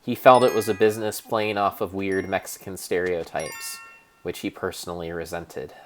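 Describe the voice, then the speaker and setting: Male streamer, low voice, unhurried mock-epic narration with stumbles, faint hiss behind. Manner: deadpan storyteller, halting restarts and self-corrections. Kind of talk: mock-dramatic improvised narration.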